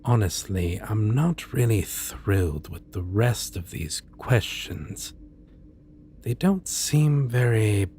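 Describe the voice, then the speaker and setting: Man playing a deep, measured, courteous supervillain. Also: a little hiss or hum. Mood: wry, probing. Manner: measured, soft-spoken, deliberate pauses. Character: suave male supervillain, menacing yet courteous, interviewing a minion. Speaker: voice actor. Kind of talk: ASMR roleplay.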